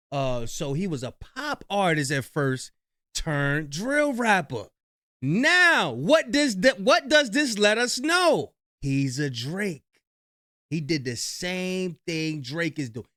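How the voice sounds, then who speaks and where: A man doing reaction commentary in a slangy, animated way, talking straight to his viewers. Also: high voice, dry recording, clean studio sound.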